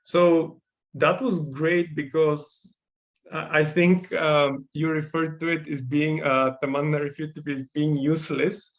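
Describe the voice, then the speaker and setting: A man with a Bulgarian accent, whose first language is Bulgarian, teaching a workshop and giving encouraging, thoughtful feedback on student designs while often pausing to search for words. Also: on the high side, steady tone, highs cut off. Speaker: workshop instructor.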